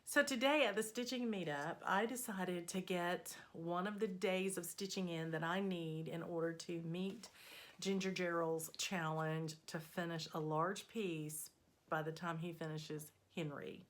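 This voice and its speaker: A woman hosting a needlework vlog, her voice still a little scratchy as it recovers, talking in an unhurried, friendly way with plenty of ums. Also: lowish voice, steady tone. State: slightly scratchy voice, recovering from a cold. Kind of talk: casual chatty progress update.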